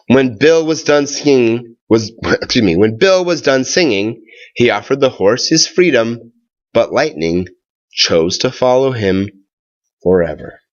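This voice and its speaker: A man reading a children's tall tale aloud, with expressive, deliberate phrasing, drawn-out words and pauses. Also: mid-range voice, dull audio.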